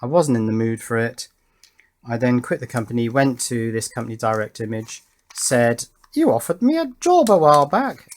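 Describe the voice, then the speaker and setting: A man's voice: mid-range voice, sing-song, moderate pace. A middle-aged man with a mid-range voice. He talks like a hobbyist hosting an electronics video, casual and unscripted. His delivery is thoughtful and matter-of-fact, wandering easily between instruction and reminiscence. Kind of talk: casual chatty tutorial narration with reminiscence.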